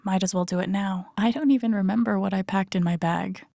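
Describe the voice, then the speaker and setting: An actress plays a young American woman as an introspective video game heroine. Her voice sits at a middling pitch with a gravelly fry, recorded very dry and close. She talks to herself in naturalistic, wry murmured asides. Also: brisk, warm tone, no room sound, lightly acted. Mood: casual, wry. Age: young adult. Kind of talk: naturalistic game inner-monologue acting.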